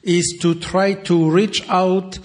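A man with a strong French accent addresses a congregation at a very unhurried pace. His voice sits a little high for a man. His English is simple and halting, delivered in short phrases that leave room for an interpreter. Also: little pitch movement, darkish tone. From France. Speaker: visiting church speaker and ministry director.

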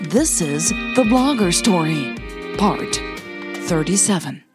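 A woman's voice: on the low side, expressive, takes it slowly, over music. A woman reading scripted audio drama narration as a podcast host and narrator.

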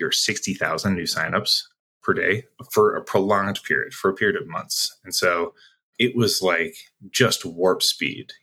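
A man's voice: deep voice, bright, forceful tone, slightly rough. A man answering interview questions as a sales executive guest, talking conversationally in a reflective, explanatory way.

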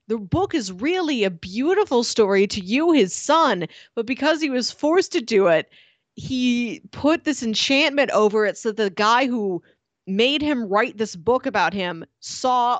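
Bright-voiced woman, animated retelling. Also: fairly high pitch, unhurried, no room sound. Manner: animated retelling with frequent laughs. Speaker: podcast co-host. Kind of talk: casual book-club chat.

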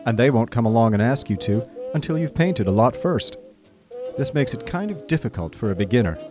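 Guy playing chatty posh idle young gent telling his story in a radio play, lowish voice, music behind. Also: natural voice. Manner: breezy, discursive, old-fashioned upper-class phrasing. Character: Bertie Wooster, chatty idle young gentleman narrator. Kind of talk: radio drama first-person comic narration.

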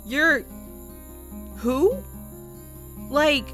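A woman's voice: high-pitched, big pitch swings, very slow, bright, forceful tone, soft background music, heavily acted. A young woman playing an anxious, awkward seventeen-year-old girl in an audio drama, speaking in a hesitant, flustered way. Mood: stunned.

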